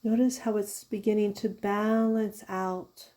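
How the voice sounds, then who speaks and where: Woman yoga teacher doing guided relaxation, mellow voice, slow soothing instructions w pauses. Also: medium pitch, flat delivery. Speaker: yoga therapist guiding a meditation. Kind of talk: guided yoga nidra meditation.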